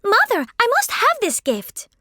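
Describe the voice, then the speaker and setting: A woman's voice: very high voice, huge pitch swings, measured pace, sharp tone, no room sound, studio quality, heavily acted. A woman voicing a lazy, greedy girl in a fairy tale, demanding and petulant in manner. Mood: impatient, demanding. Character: lazy, greedy, spoiled girl with impatient temper. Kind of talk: fairy tale character acting.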